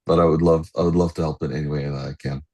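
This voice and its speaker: A middle-aged man, reminiscing casually as a podcast guest in a halting, hesitant way with many pauses. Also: extremely low pitch, little pitch movement, a little mellow. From Egypt.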